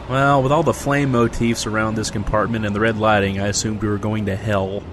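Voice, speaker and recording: A man acts out an exasperated everyman in a comedic audio drama. His voice sits a little low. He sounds sarcastic and incredulous, with faint noise behind him. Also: level tone, a little fast, lightly acted. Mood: wry. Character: Jesse, exasperated everyman dragged along to a magic convention.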